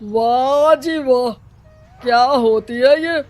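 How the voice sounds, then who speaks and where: Woman as bossy village headman, high pitch, slow drawn-out delivery, scornful, cunning, animated story. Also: soft background music. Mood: mocking. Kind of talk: animated moral story acting.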